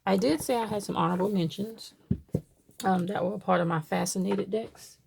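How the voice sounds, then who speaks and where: A woman's voice: medium pitch, brisk, gravelly, noisy background. A woman from Baltimore chatting casually to camera, rambling with many fillers as she shows items. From Baltimore, USA. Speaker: tarot deck reviewer.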